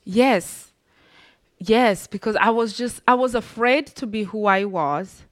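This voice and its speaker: A woman's voice: fairly high pitch, slow pace, no echo, studio quality. A woman from Rwanda with a Rwandan accent, telling her personal story as an interview guest in an earnest, reflective way.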